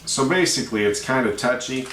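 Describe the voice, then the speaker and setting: A man giving a casual, plain-spoken product review, explaining features step by step with hesitant pauses. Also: medium pitch, animated. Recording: roomy echo, distant mic, faint noise.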